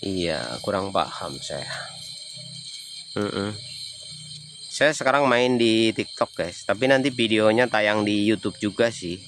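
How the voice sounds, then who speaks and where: A man's voice: fairly low pitch, animated, takes it slowly, pauses often, a bit bright, faint music behind. A man from Banyumas with a Javanese accent, chatting casually on a livestream and answering viewers' comments. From Banyumas, Central Java. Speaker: livestreaming explorer.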